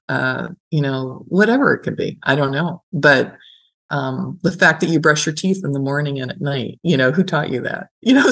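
A woman's voice: sits low, fairly bright tone. A middle-aged woman talking conversationally as an interviewed academic, explaining reflectively and often checking in with the listener. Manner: reflective, explanatory, checks in with "right?" and "you know". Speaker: gerontology professor being interviewed. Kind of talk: conversational interview, personal storytelling.

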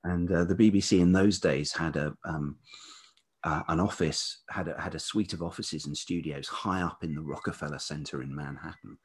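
A middle-aged man from the UK speaks with a very low, husky voice. He tells long stories from his working life, stopping often to restart his sentences. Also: fairly even intonation. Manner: halting, many ums and restarts. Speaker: podcast guest, writer and producer. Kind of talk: relaxed storytelling interview.

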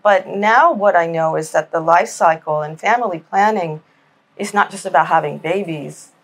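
A woman from Miami, a writer, speaking as a podcast guest in a somewhat low voice. She talks candidly and reflectively, easing into her thoughts with soft fillers. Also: moderate pace. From Miami, United States. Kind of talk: candid conversational interview.

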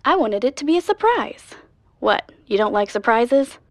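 A woman's voice: fairly high pitch, very animated, moderate pace, dry recording, clean studio sound. A woman playing an upbeat, persistent young podcast host, chatty and optimistic, in an audio drama. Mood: flustered, pleading.

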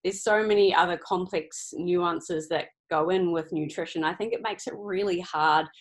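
Low-voiced New Zealand woman scientist. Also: fairly even intonation, moderate pace. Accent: New Zealand, slightly Australian-tinged. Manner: thoughtful, with frequent ums and restarts. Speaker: research scientist guest. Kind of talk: casual podcast interview.

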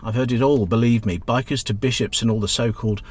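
An actor playing a chatty, good-hearted but easily exasperated British taxi driver in a radio drama. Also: male voice, mid-range voice, fairly even intonation, fast, a little mellow, close to an everyday voice. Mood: kindly, coaxing. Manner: chatty, colloquial, calls passenger love.

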